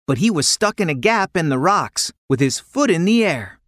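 A woman narrating a scripted children's story in an expressive, dramatic way. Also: lowish voice, normal speed, dry recording, clean studio sound.

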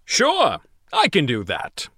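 A woman's voice: sits low, wildly swooping pitch, slow pace, fairly bright tone, no echo, clean studio sound, heavily acted. A woman voicing an apologetic giant male genie in a fairy tale, hesitant and deferential. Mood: obliging. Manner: hesitant, throat-clearing, deferential.

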